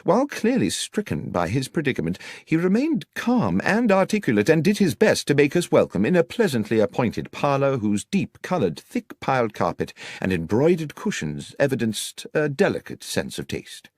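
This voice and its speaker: A man's voice: medium pitch, huge pitch swings, moderate pace, hardly any pauses, a little mellow, dry recording, clean studio sound. A man reading scripted literary narration as an audiobook narrator.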